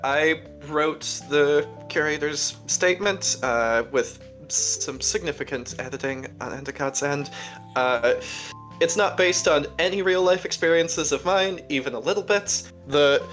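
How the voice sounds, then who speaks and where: Conversational speaker of medium pitch, dry, deliberate and wordy, faint guitar underneath. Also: a bit bright, poor audio. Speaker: cast member and writer. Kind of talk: casual group Q&A chat.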